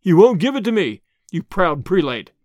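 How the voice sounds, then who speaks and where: A man's voice: high-pitched, sing-song, brisk, dry recording, clean studio sound. A man voicing a flamboyant, boastful giant thief in a storybook, with a theatrical, taunting manner. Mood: mocking triumph. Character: flamboyant, gloating giant master thief, male, boastful and mocking.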